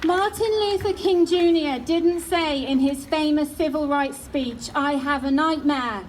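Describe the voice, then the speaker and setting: Woman, high voice, earnest rally speaker outdoors, light background noise. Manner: earnest, slows down for emphasis. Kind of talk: rally speech.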